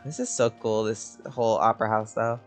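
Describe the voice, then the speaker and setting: A man's voice: fairly low pitch, fairly even intonation, fairly quick, faint music behind. A man giving casual, sweary commentary while playing a video game. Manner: swears freely, chuckly.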